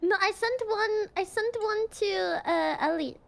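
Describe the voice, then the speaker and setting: A woman's voice: very high-pitched, a little slow, vocal fry, fairly bright tone, slight background noise. A woman joining friends on a game call, bubbly and giggly. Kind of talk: casual chat.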